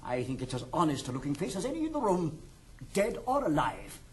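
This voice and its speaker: Old english uncle in a period comedy, highish voice, really animated, exclaims a lot. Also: male voice, fairly quick. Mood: moved, fond. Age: older. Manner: theatrical, exclamatory. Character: shrewd, sentimental old English uncle posing as moneylender. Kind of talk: classic stage comedy acting with muttered asides.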